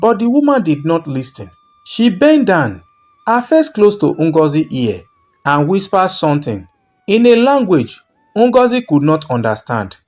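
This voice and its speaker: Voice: mid-range voice, big pitch swings, unhurried, darkish tone. A narrator telling a scripted folktale in a dramatic storytelling style.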